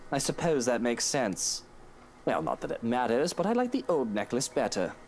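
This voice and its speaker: An actor playing an affectionate, inquisitive wealthy young husband in a visual novel game. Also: male voice, mid-range voice, big pitch swings, normal speed, a little mellow, a little hiss or hum. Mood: reserved.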